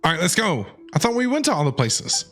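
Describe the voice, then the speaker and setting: A woman's voice: fairly low pitch, very animated, a little fast. A woman streaming a video game, casually reacting and talking back to the characters. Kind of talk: casual live gameplay reaction. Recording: game music faintly behind.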